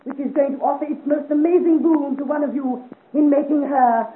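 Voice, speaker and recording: A woman's voice: high voice, steady tone, fairly quick, natural voice. A woman playing a mysterious, witch-like fortune teller with an incantatory, theatrical delivery in a 1950s radio serial. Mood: portentous. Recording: echoey, tinny old radio recording.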